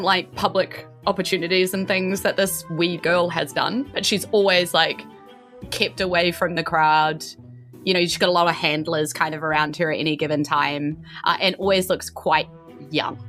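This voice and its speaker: A woman's voice: on the low side, a bit bright. A woman with a New Zealand accent narrating a tabletop game as game master, chatty and informal.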